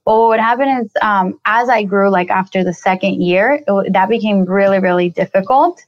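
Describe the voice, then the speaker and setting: A woman's voice: medium pitch, a little fast, no echo, lo-fi sound. A woman in her twenties from Colombia, a guest on an interview podcast, telling her story in a casual, chatty way full of "like" and "you know". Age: 20s. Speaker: podcast guest, real estate investor.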